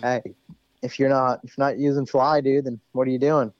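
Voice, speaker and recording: A man's voice: mid-range voice, little pitch movement, brisk, muffled recording. A young man, an ATV racer, talking on a podcast in a laid-back, rambling way, full of "you know" and "dude". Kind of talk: casual podcast interview.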